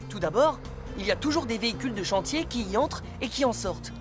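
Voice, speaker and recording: Stern cartoon instructor, lecturing, over music. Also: male voice, high-pitched, lively intonation, quick pace. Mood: serious. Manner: serious, lecturing.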